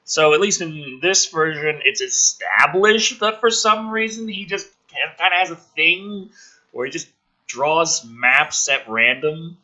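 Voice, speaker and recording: Male review host, higher-pitched and piercingly bright, for emphatic script reading and exasperated, sweary ranting. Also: takes it slowly, rough recording. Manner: ranting, incredulous, profane; theatrical, emphatic.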